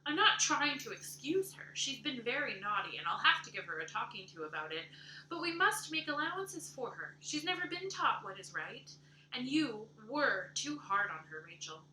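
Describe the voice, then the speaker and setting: A woman voicing a stern middle-aged spinster who talks in a dry, measured way in a storybook reading. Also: a little high, sing-song, pushed and bright, a little hiss or hum, full character voice. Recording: roomy echo.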